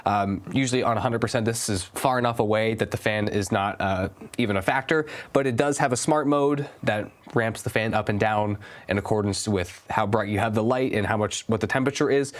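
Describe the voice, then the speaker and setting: A man's voice: fairly low pitch, fairly quick, hardly any pauses. A young man with a stuffy, congested voice, giving a casual, enthusiastic review of a studio light. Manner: casual, enthusiastic about gear. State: stuffy, under the weather. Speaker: gear reviewer.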